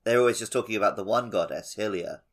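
Lowish-voiced man chatting casually. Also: fast talker. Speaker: podcast co-host. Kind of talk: casual video game discussion.

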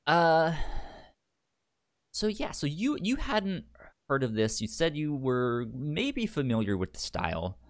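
A man's voice: a little high, wildly swooping pitch, takes it slowly. A man co-hosting a podcast in casual chat, rambling, with many stammered restarts and the hiccups. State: has the hiccups. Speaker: podcast co-host.

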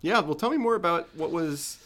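A man's voice: on the high side, animated, fairly quick, a bit bright. A man hosting a podcast, opening the show and prompting his guest with friendly, upbeat questions. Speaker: podcast host.

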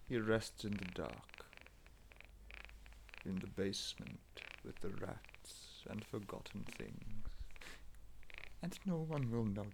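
Actor as a wildly swinging, anguished horror-play husband. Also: male voice, lowish voice, gravelly, a little hiss or hum, full character voice, rough recording. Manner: theatrical, pleading, swinging between murmurs and cries. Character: anguished, obsessive husband devoted to his cursed wife. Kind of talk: melodramatic horror radio play acting.

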